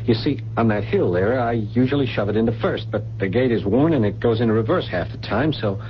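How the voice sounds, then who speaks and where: A man's voice: mid-range voice, expressive, a little fast, a little hiss or hum, close to an everyday voice. An anxious, defensive man, played by an actor in a vintage radio play. He is flustered and insistent, his mid-range voice moving a lot, on a dull recording. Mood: nervous, defensive. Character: anxious, defensive grown son making excuses. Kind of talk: 1970s radio drama acting.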